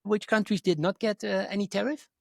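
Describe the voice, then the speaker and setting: A man with a fairly high voice, co-hosting a casual tech and crypto podcast. He thinks aloud, hesitating and restarting as he talks. The sound is dry and close, with no room around it. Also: fairly even intonation, brisk, creaky, dry recording. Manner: thoughtful, hesitant, with fillers and restarts. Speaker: podcast co-host. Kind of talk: casual chat.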